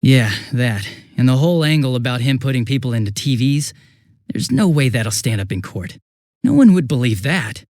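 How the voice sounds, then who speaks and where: A man voicing a young police detective in a video game, affable and easily flustered, with a casual, hesitant and excitable way of talking. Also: mid-range voice, moderate pace, no room sound, studio quality, natural voice. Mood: frank, serious. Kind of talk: English video game voice acting.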